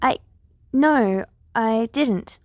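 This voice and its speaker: A woman's voice: a little high, drawn-out words, a little mellow, no echo, clean studio sound. A woman voicing an earnest, eager older girl, a polite and persuasive hero student in a story. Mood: soft.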